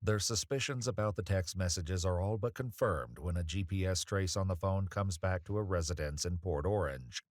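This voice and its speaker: Low male voice, dry studio sound, scripted crime narration, police report style. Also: normal speed, few pauses, no room sound.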